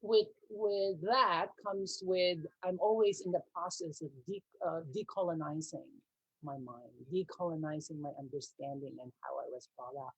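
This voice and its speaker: Husky-voiced Filipina panelist, dry sound. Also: female voice, low voice, normal speed, no room sound, poor audio. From Philippines. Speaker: panel guest. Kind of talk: casual panel discussion.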